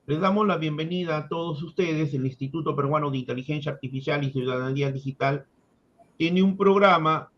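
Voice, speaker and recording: A man formally hosting an online film forum, introducing the event with ceremonious, deliberate phrasing. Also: a little high. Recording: dull, lo-fi audio.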